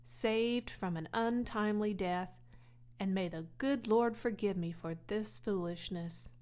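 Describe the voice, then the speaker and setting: A woman voicing a patient farmer father in a storybook, gently firm and measured, with dry formality. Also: mid-range voice, natural voice. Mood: relenting.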